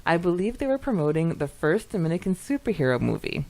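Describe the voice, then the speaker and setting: A woman's voice: fairly low pitch, very animated, fast, darkish tone. A woman co-hosting a podcast, chatting casually and reading comments aloud in a giggly, enthusiastic manner. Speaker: podcast co-host.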